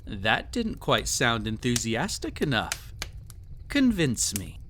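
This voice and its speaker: A man performs a cruel, possessive emperor in an intimate audio drama. His delivery is slow and very expressive, swinging widely in pitch. He is imperious, teasing and condescending as he pampers, with faint noise behind the voice. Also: heavily acted. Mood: menacing, mocking.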